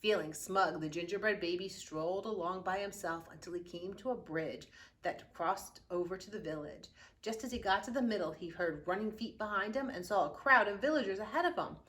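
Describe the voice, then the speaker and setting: A woman reading a children's picture book aloud with expressive, dramatic storytelling and drawn-out key words. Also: medium pitch. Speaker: youth services librarian reading aloud.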